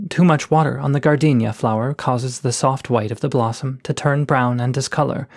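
A man's voice: mid-range voice, darkish tone, natural voice. A bookish male coyote pours out florid, courtly letters, as a man reads them aloud. His voice sits in a middle register. The delivery is earnest and polite throughout. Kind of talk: reading letters aloud from a story.

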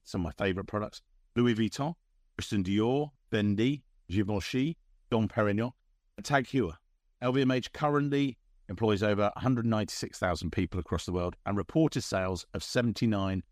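A man's voice: on the low side, darkish tone, dry recording, clean studio sound. A man hosting an interview podcast, presenting guests and putting questions to them in a presenter's style. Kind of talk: scripted podcast intro and interview.

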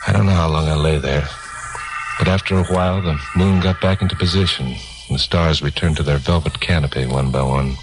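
A man's voice: extremely low pitch, fairly even intonation, a little fast, rough recording. An American man acting a tough, wry news commentator and investigator in a 1950s radio crime serial, with terse, dramatic, sardonic delivery. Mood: moody, suspenseful. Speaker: narrator and lead actor of a radio crime serial. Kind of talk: 1950s radio acting, hardboiled first-person narration. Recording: 1950s radio recording with music behind.

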